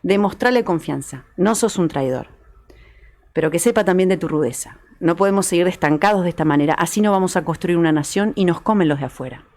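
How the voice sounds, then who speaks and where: A woman plays a commanding patriot woman of old Argentina in a radio drama. She speaks with an Argentine accent, low for a woman, insistent and urgent as she presses her case. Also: a little fast, darkish tone, natural voice. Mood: urgent, persuasive. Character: urgent, persuasive patriot woman advising her brother, the governor. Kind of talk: dramatized historical radio reading.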